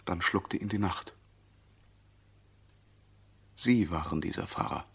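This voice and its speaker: An actor playing a calm, insinuating eyewitness in a crime radio drama, speaking in a measured, deliberate way. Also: male voice, low-pitched, breathy edge, exaggerated voice. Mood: accusing, controlled. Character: calm, insinuating male eyewitness Gachet confronting a suspect.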